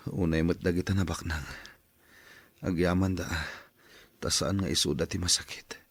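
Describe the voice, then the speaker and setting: A man plays a gloomy, ailing husband in a radio drama. His voice is very low and husky, with a weak, resigned way of talking. The recording is dry. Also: steady tone, moderate pace, dry recording. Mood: resigned, weary. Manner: weak, groaning, plaintive. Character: sick, poor, weary husband, gloomy and resigned.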